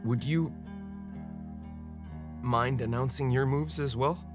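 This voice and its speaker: An actor playing a volatile captive chess machine with a puppet head, speaking deliberately and swinging between menace and pleading in a dramatic film style. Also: medium pitch, over music, natural voice. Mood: wounded, formal.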